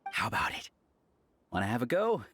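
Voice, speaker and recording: An actor playing a smooth-talking, manipulative schemer in a video game, silky and persuasive. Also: male voice, medium pitch, sing-song, brisk, pushed and bright, a little hiss or hum.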